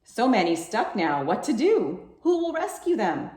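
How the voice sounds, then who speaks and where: A woman reads aloud to children with lively, swinging pitch. She takes her time and coaxes listeners with questions, and the room adds noticeable echo. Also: a little slow. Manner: coaxing questions to young listeners. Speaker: children's library storytime reader. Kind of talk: expressive read-aloud for children. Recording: echoey room sound.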